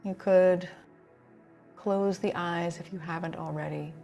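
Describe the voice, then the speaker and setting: Low-pitched woman guiding relaxation. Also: fairly even intonation, creaky, dark tone, soft background music. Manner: soothing, unhurried instruction with pauses. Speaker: yoga nidra instructor. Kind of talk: guided relaxation meditation.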